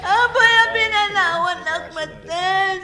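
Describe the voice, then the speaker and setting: Bereaved village daughter, Ilocano Filipino accent, piercingly high, slow melodramatic radio delivery, echo and music. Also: female voice, slight background noise, exaggerated voice. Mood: grief-stricken, tearful. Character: grieving, resolute daughter of a slain village leader. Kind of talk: melodramatic radio drama acting. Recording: echoey studio, loud music bed.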